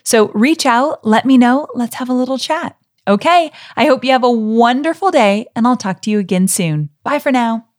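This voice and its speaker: A woman's voice: fairly high pitch, expressive, moderate pace. A middle-aged woman hosting a solo podcast, talking straight to her listeners in an encouraging, friendly way. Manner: warm, encouraging, direct address to listeners. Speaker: podcast host. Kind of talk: solo podcast teaching talk.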